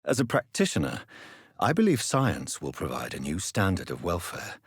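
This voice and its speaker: A man playing a grave, courteous, troubled gentleman doctor turned vampire in a video game, speaking formally and earnestly with a husky voice. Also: low voice, wildly swooping pitch, normal speed, dry recording, clean studio sound, full character voice. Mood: composed, earnest. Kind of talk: dramatic video game dialogue.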